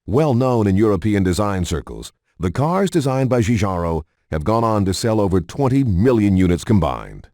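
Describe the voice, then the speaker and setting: A man reading scripted documentary-style narration in a measured, polished announcer manner. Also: on the low side, big pitch swings, dark tone.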